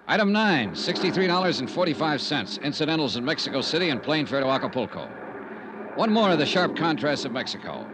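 An actor playing a shrewd, hard-boiled insurance investigator in a 1950s radio detective drama, clipped, dramatic and wry. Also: male voice, on the high side, sing-song, brisk, noisy background. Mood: crisp, narrating.

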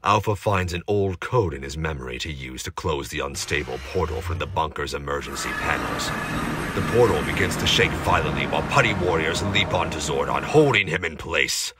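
A man's voice: a bass voice, lively intonation, a little fast. A man giving dramatic scripted narration of an action story in an amateur audio drama. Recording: noisy amateur recording with heavy background noise.

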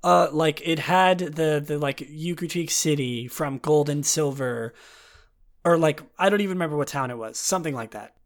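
Hoarse man with a raised pitch. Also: moderate pace. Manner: rambling, with frequent restarts and self-deprecating asides. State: hoarse, raspy throat from talking a lot. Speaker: podcast host. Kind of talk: casual solo commentary, partly reading a write-up aloud.

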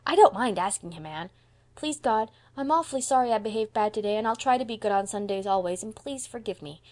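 A woman's voice: mid-range voice, lively intonation, a little fast, darkish tone, voice pushed up, natural voice. A woman voicing a small mischievous boy in an audiobook, earnest, guilty and blunt, with childish, emphatic grammar slips. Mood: eager. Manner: childish ungrammatical speech, emphatic. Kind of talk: audiobook character voice.

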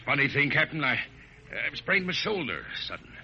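A man's voice: a little high, big pitch swings, normal speed, bright, forceful tone, heavily acted. An American actor playing a friendly, reluctant spaceship crewman in a 1950s radio drama, colloquial and hesitant. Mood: uneasy. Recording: muffled, hissy 1950 radio recording.

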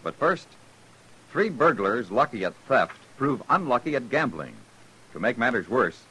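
A man's voice: mid-range voice, sharp tone, slightly rough, low-quality recording. A man reading scripted promos as a 1950s radio announcer.

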